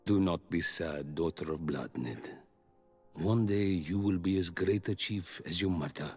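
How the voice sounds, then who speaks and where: An actor playing a solemn, kindly and wise native healer in a fantasy video game, with a husky voice. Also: male voice, low-pitched, unhurried, darkish tone, soft background music, exaggerated voice. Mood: grave, gentle. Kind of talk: video game cutscene acting.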